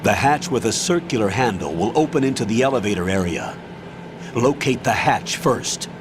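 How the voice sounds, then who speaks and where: A man playing a commanding older army colonel in a video game, giving measured, authoritative mission briefings. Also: lowish voice, very animated, background noise. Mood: firm, instructive.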